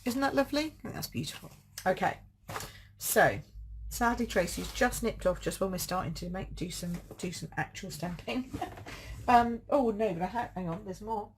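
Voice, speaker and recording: A slightly croaky woman, gushing chattily over craft supplies on a home live stream. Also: mid-range voice, slight background noise. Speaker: card-making demonstrator hosting a live stream. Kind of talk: casual live-stream show and tell.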